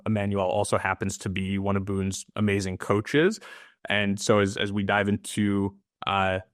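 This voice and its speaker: A man moderating a webinar panel, his voice low and held to a narrow, even range, heard through a dry call mic with almost no room sound. He talks earnestly, with plenty of "um"s and restarted phrases. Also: no room sound. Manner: earnest, filler-heavy with restarts. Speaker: webinar host.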